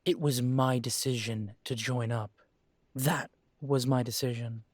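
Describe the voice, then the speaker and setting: A man's voice: mid-range voice, steady tone, a little slow, no echo, close to an everyday voice. A man plays a young werewolf son in an audio drama, standing his ground with a firm, defensive edge. His voice sits mid-range with a plain tone, recorded dry and close. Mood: defiant. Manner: defensive and firm, then quiet and conciliatory. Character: young adult male werewolf, defiant estranged son.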